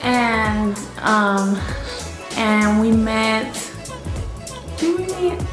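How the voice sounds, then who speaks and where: A woman co-hosting a casual couple Q&A at home, relaxed and a bit hesitant, with many fillers. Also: on the high side, very slow, reverberant, over music. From Orlando. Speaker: co-host of family channel. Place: home room.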